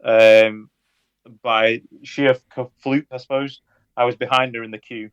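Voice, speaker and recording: A man in his thirties with a Lancashire accent, a guest on a podcast interview, speaking in a measured, hesitant way with many fillers and a dry sense of humour. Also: mid-range voice, little pitch movement, smooth, dry recording, dull audio. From Lancashire, England. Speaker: guest, police officer.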